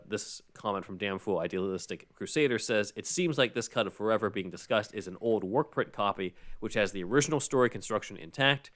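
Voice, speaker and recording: A man with a notably low voice hosts a casual podcast. He talks conversationally, moving between reading out comments and explaining his own take on them. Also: a bit bright. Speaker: podcast host.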